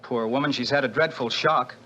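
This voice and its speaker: An actor playing a jaunty young American gambler who jokes easily, in a 1940s radio drama with muffled sound. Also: male voice, medium pitch, a little fast, pushed and bright, slight background noise, natural voice. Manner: jaunty, joking.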